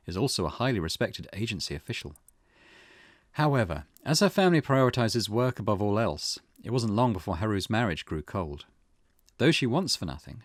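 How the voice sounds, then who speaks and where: A man's voice: fairly low pitch, no room sound, studio quality. A man reading a visual novel aloud for a gaming video, with casual commentary of his own.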